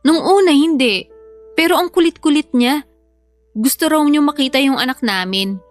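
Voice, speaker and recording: A woman playing a soft-hearted young single mother with a hesitant, apologetic manner in an audio drama. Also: sits high, moderate pace, soft background music, close to an everyday voice. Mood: troubled.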